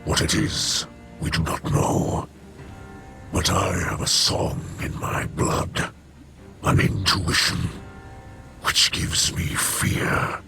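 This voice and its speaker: An actor playing a grave, commanding alien warrior lord in a video game, speaking solemnly and formally in a gravelly voice. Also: male voice, deep voice, fairly even intonation, slow, pauses often, bright, forceful tone, faint music behind, exaggerated voice. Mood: solemn, foreboding. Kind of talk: video game character acting.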